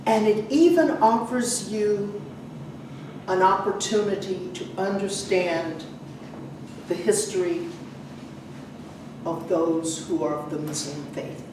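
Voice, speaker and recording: Female church preacher, unhurried and deliberate, medium pitch, heavily echoing room with faint noise. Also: darkish tone. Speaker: preacher leading a church service. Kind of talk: sermon with scripture introductions. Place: indoor church service. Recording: echoey church, distant mic, faint noise.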